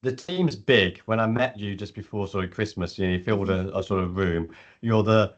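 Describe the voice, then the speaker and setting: A man's voice: lowish voice, normal speed, lo-fi sound. A man with a British accent, a board member asking a question in a friendly, conversational way. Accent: British English.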